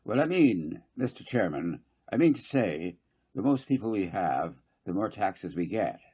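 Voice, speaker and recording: A man reading a story, voicing a flustered, argumentative town councillor who blusters haltingly and repeats himself. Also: sits low, big pitch swings, dark tone, breathy edge, exaggerated voice. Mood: flustered, insistent.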